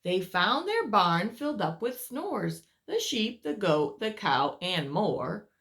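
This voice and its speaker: Animated, bright-voiced woman reading to young children. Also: mid-range voice. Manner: expressive, dramatic emphasis with drawn-out words. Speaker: preschool teacher reading aloud. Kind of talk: animated children's read-aloud with asides to young listeners.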